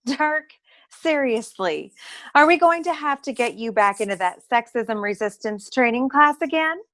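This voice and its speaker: Woman playing a high-voiced, sultry noir secretary. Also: animated, unhurried, a bit bright. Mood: sassy, scolding. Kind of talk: comic noir radio play acting.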